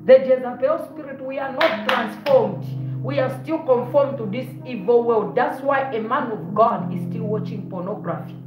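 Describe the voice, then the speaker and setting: A woman's voice: a little high, normal speed, music in the background. A woman in her forties preaching a fiery sermon in her living room, repeating words and moving about, recorded on a phone a short way off. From Zambia. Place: living room of a house, indoors. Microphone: phone camera on a stand at a short distance. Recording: roomy, dull lo-fi phone audio.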